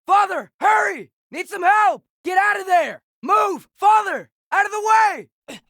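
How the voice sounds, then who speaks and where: A man's voice: very high voice, lively intonation, slow, vocal fry, bright, forceful tone, no echo, raised voice, heavily acted. Game role: eager, sincere teen boy, godly lineage, youthful and conversational, close dry sound. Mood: urgent, alarmed. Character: earnest, eager teenage boy adventurer, son of a god. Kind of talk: video game character acting.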